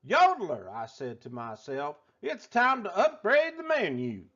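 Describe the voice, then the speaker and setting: Guy doing a folksy country diner owner telling a storybook tall tale, slow drawl, super animated, kinda muffled lo-fi audio. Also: high voice, full character voice. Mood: folksy, excited. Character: folksy excitable yodeling spaghetti-restaurant owner spinning tall tales. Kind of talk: animated children's storybook read-aloud.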